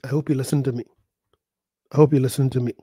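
A man, a pastor preaching a sermon, emphatic and repeating words, his pitch jumping high at key points. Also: fairly even intonation, quick pace, dark tone, breathy edge, no echo, studio quality. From the Bahamas. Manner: repeats words and acts out quoted voices.

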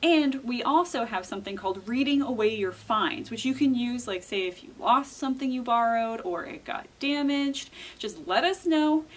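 A woman with a fairly high voice speaks as a children's librarian. She presents in an emphatic, upbeat way, as if guiding young visitors through a prepared tour. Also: expressive, moderate pace, hardly any pauses. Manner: enthusiastic, emphatic. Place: indoors in a public library.

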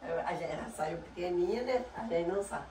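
A woman's voice: lowish voice, fast, warm tone, slight background noise. An older woman with a cold, chatting casually in a plain-spoken way. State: has a cold. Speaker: villager hosting guests at home. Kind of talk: casual chat. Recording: echoey, distant, voices in background.

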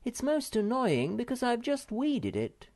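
A reader voicing Moomin Mamma, a gentle troll mother who is easily flurried. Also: mid-range voice, wildly swooping pitch, measured pace, pitched above the performer's own voice. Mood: fussing.

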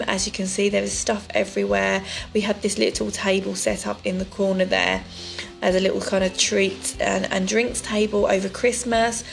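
A woman's voice: medium pitch, creaky, rough recording. A woman, chatting casually through a clean-with-me voice-over, with lots of ums. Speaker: vlogger. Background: soft background music.

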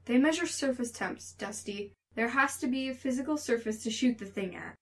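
A woman playing a skeptical, exasperated young woman with a dry, sarcastic, sharp-tongued way of talking in an audio story. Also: a little high, expressive, moderate pace.

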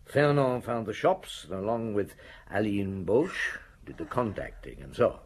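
An actor playing a weary, shrewd senior police inspector, gruff and commanding, in a radio drama. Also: male voice, on the low side, very animated, normal speed. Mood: accusing, explanatory.

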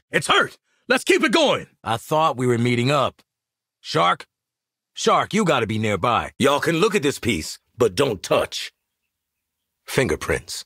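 An American actor playing a cocky, gruff, wisecracking mercenary sharpshooter in a video game, with a raspy voice and punchy, streetwise delivery. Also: male voice, on the high side, sharp tone, no room sound, studio quality, exaggerated voice. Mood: commanding, urgent. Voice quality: raspy, gravelly. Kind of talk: acted combat game voice lines.

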